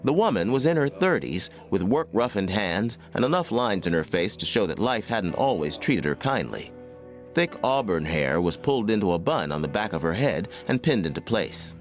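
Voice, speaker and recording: A man's voice: on the low side, very animated, normal speed, faint music behind. A man with an American accent reading scripted narration for a Western audiobook.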